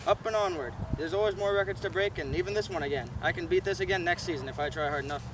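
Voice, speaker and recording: A man's voice: high-pitched, quick pace, natural voice. A man playing a confident, cheerful 1920s baseball slugger in an amateur interview. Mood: confident, chipper. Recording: distant mic, clear outdoor-like background noise.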